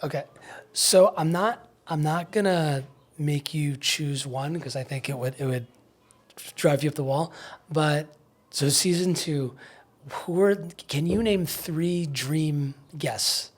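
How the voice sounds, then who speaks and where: A man interviewing casually in a conversation, informal and halting, rephrasing his questions as he goes. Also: fairly high pitch. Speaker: podcast producer acting as interviewer.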